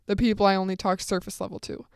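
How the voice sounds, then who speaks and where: Chatty teenage girl with a smooth voice. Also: little pitch movement, quick pace, darkish tone, no room sound, clean studio sound. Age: teens. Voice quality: fairly deep voice for a woman. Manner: rambling, chatty, full of asides. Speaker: podcast host. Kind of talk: casual solo storytelling.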